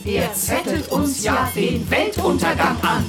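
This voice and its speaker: Female radio drama chorus figure: high voice, indignant, declamatory, loud music behind. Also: big pitch swings, measured pace. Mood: fervent, indignant. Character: indignant woman of an outraged chorus, fervent. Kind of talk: literary radio play acting.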